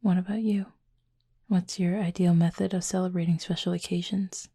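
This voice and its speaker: A woman playing a reserved, gently teasing cupid roommate in an intimate audio roleplay, speaking softly and breathily in a dry, measured way. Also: fairly low pitch, steady tone, husky. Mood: reserved, thoughtful. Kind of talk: intimate roleplay audio drama, addressing the listener.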